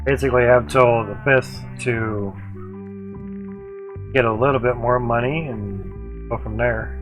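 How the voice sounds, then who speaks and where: A man's voice: mid-range voice, unhurried, fairly bright tone, soft background music. A man livestreaming a video game with casual, rambling commentary in short clipped phrases. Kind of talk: casual gameplay commentary, chatting with viewers.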